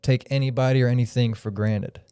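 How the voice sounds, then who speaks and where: Mellow, smooth-voiced man in his thirties. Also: mid-range voice, steady tone, a little fast, no room sound, studio quality. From Florida. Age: 30s. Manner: rambling, reflective, freewheeling. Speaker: podcast guest. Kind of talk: casual podcast chat.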